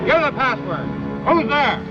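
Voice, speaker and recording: An actor playing an alarmed male sentry shouting drawn-out challenges, in 1940s training film style. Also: very high-pitched, big pitch swings, exaggerated voice. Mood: alarmed, threatening. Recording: muffled vintage film with loud music.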